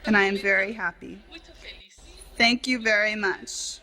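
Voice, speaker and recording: A woman reading a translated tribute and speech as a voice-over, in measured, formal phrasing with short clauses. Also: mid-range voice, fairly even intonation, sharp tone.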